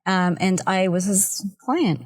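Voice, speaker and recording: A woman's voice: medium pitch, slow, a loud crowd. A Canadian woman chatting casually as a guest on a podcast.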